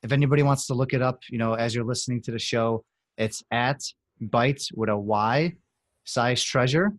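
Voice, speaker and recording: A man hosting a podcast, conducting a casual interview in a halting, conversational manner. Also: mid-range voice, no room sound, clean studio sound.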